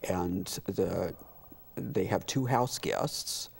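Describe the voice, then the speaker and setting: A man talking casually as an interview guest, in a halting, conversational way. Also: low-pitched, creaky, husky. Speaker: interview guest, community theater director.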